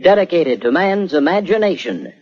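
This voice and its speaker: A man's voice: high voice, sing-song, normal speed, darkish tone. A man announcing a radio drama in a formal, theatrical old-time broadcast style. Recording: dull vintage radio recording, faint music.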